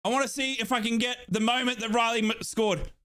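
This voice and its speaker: A man from Australia streaming a football watchalong, cheering and yelling excitedly, his voice hoarse from shouting. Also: very high voice, a little fast. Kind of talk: excited fan commentary.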